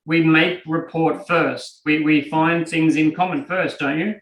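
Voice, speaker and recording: A man with an Australian accent teaching a live online coaching class in a lively, conversational way and acting out examples. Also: a little high, measured pace, far from the mic. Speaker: NLP trainer. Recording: roomy and from off the mic.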